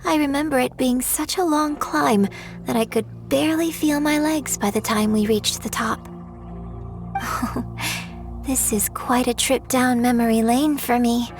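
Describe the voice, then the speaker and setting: Young female opera singer, slightly high voice, poised, measured storyteller, faint music behind. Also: fairly even intonation. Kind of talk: video game voice acting.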